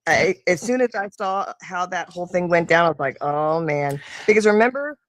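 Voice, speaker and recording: A woman's voice: lowish voice, animated, normal speed, no echo. An American woman in a casual political chat, recounting things conversationally and acting out quotes. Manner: conversational, recaps with acted quotes.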